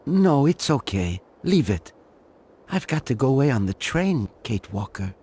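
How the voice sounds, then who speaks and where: Husky, slow, childlike old man. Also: mid-range voice, sing-song, warm tone. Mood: simple, insistent. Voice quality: husky, breathy. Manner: simple short sentences, slow and childlike. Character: frail, childlike, simple-minded old man inventor.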